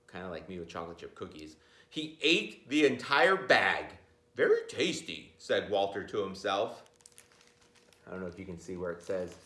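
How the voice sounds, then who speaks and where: A man reads a picture book to children with a very lively, swinging pitch. He is informal and jokey, moving between storytelling and chatty asides. The room around him is noticeably echoey. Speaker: teacher reading a picture book aloud. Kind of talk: animated children's read-aloud with chatty asides.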